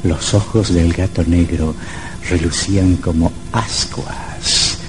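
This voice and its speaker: A sinister storyteller from an old radio horror play. His low voice narrates in an ominous, theatrical and literary manner, over a clearly noisy vintage recording. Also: steady tone, slow pace.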